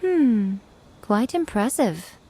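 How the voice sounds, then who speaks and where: A woman's voice: a little high, very animated, slow, warm tone, a little hiss or hum. A woman playing an elegant, teasing shrine maiden in a video game, speaking in a coy, refined drawl. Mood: coyly impressed.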